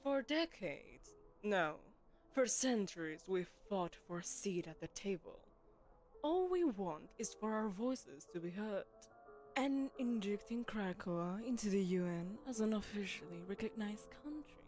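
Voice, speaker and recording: A woman's voice: mid-range voice, expressive, measured pace, darkish tone, faint music behind, close to an everyday voice. A woman voicing a poised, commanding telepathic queen who hosts a lavish gala, speaking to the listener in a silken, condescending, persuasive way. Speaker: voice actress. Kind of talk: audio roleplay, spoken to the listener.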